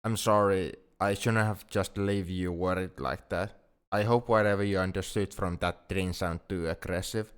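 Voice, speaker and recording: A man's voice: low-pitched, steady tone, moderate pace, clear, resonant tone, natural voice. A man playing a shy, self-blaming young male alien in an ASMR roleplay, speaking in a hesitant, earnest way. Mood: anxious, apologetic. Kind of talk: ASMR roleplay audio drama.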